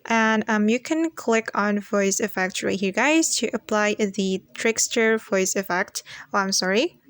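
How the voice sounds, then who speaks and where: A woman hosting a casual step-by-step software tutorial as a voice-over. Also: medium pitch, dry recording. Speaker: tutorial host.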